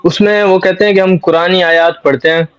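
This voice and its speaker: A man's voice: high-pitched, fairly even intonation, brisk, smooth, dry recording, soft background music. Polite man, dull dry recording. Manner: deferential, polite. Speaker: questioner. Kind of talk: informal spoken questions. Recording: dull lo-fi close dry sound.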